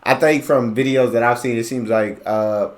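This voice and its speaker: A man's voice: mid-range voice, steady tone, moderate pace. An American man reacting on a video, thinking aloud casually with many fillers and hedges. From United States.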